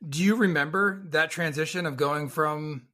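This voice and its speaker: A male podcast host with a fairly high voice leads a casual interview. He asks friendly questions that wander and circle back, filled with fillers and restarts. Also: moderate pace, pushed and bright.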